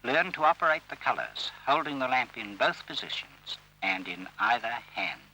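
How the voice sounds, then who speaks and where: A man reading scripted instructional narration for an old training film, in a formal, measured and instructive manner. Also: mid-range voice, very animated, bright, forceful tone. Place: voice-over recorded for film. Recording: old scratchy railway training film soundtrack.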